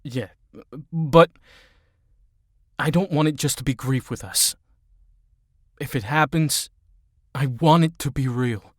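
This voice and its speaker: An actor playing a grieving young superhero in an audio drama, earnest and halting in his delivery. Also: medium pitch, no room sound, studio quality. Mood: awkward. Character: conflicted grieving young male superhero team leader.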